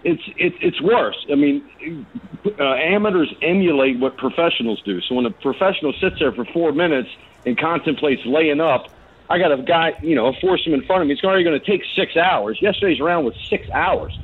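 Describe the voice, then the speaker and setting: A man's voice: a little high, animated, a little fast, breathy edge. A man phoning a sports radio show with a blunt, exasperated complaint in casual speech, restarting as he goes. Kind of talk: casual opinionated call-in complaint. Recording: narrow phone-in line.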